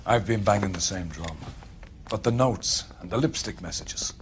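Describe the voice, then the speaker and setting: An actor playing a weary, authoritative middle-aged police captain in a 1940s crime game. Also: male voice, fairly low pitch, normal speed, a little hiss or hum, lightly acted. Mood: concerned, weary.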